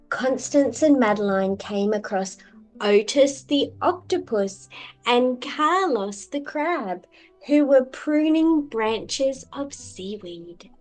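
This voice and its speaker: Unhurried, animated woman storyteller. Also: on the high side, soft background music, low-quality recording. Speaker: children's book storyteller. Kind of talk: expressive scripted storybook narration.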